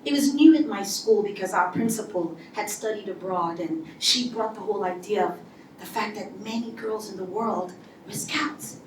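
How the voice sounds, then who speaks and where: A woman giving a motivational speech to an audience, telling her story in a lively way and acting out what people said. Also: mid-range voice, normal speed, recorded from a distance, slight background noise. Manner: animated storytelling with acted-out quotes. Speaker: speaker. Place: large hall before an audience. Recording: echoey hall, voice from afar.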